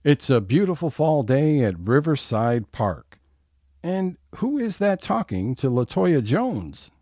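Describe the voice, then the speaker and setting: Man as animated, wry comedy narrator. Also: a little high, takes it slowly, dry recording, exaggerated voice. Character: wry storybook-style narrator setting each comic scene.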